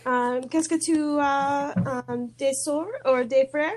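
A woman's voice: high-pitched, fairly even intonation, slow pace, slight background noise. A woman with an American accent, a native English speaker and beginner in French, hesitantly and shyly searching for each word. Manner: hesitant, nervous, shy, searching for words. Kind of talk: halting conversation practice.

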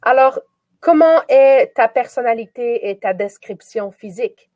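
A woman's voice: a little high, lively intonation, a little slow, a little mellow, no echo. A woman from Quebec, chatting as an encouraging, reassuring conversation partner. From Quebec, Canada. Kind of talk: casual question-and-answer conversation practice.

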